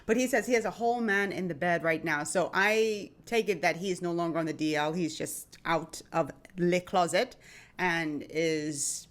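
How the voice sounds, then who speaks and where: A woman's voice: lowish voice, moderate pace. A woman co-hosting a casual reaction chat, playful and teasing in how she talks. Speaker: co-host.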